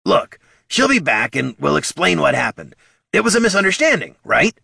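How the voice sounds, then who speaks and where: A man doing Bert, a high twitchy kobold. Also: sing-song, normal speed, gravelly, bright, forceful tone, slightly rough, no echo, studio quality, full character voice. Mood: coaxing. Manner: rambling, coaxing, talks himself in circles. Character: nervous chatty male kobold, small and scrappy.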